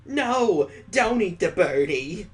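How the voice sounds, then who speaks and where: A man voicing excitable little children in a visual novel, in a raised, childish voice. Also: high voice, slow pace, pitched above the performer's own voice, full character voice. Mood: timid, upset. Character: excitable little children reciting riddles and squealing.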